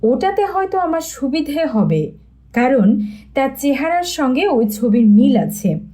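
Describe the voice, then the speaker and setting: A woman's voice: sits high, sing-song, a little slow, dark tone. A woman reading the part of a mysterious, solemn woman in a story, earnest and pleading.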